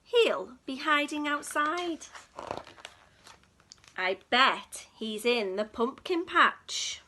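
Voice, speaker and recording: A woman's voice: high-pitched, lively intonation, extremely slow, sharp tone, exaggerated voice. A woman voicing a bossy, scornful cat from a picture book, with a snappy, scolding manner. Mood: scoffing.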